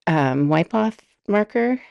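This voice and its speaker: Deep-voiced middle-aged woman rambling on her home podcast, kinda gravelly, dry close sound. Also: animated, takes it slowly, a little mellow, no room sound, muffled recording. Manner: chatty and rambling, laughs often. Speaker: podcast host. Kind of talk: casual solo podcast monologue. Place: home room.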